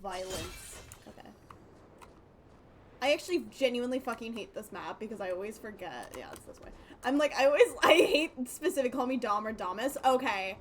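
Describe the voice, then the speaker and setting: A woman's voice: fairly high pitch, animated, moderate pace, slight background noise. A woman game streamer chatting casually to her live audience in a playful, rambling way, repeating phrases. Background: game music.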